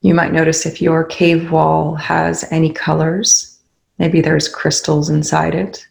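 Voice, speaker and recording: A meditation leader guiding listeners in a soothing, unhurried way, with pauses between short instructions. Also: medium pitch, monotone, creaky. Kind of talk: guided meditation.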